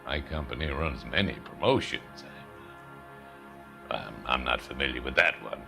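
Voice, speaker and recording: An actor playing a slick, self-assured middle-aged real estate tycoon in a video game, smooth-talking and condescending. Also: male voice, a bass voice, normal speed, over music. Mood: defiant. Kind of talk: dramatic video game acting.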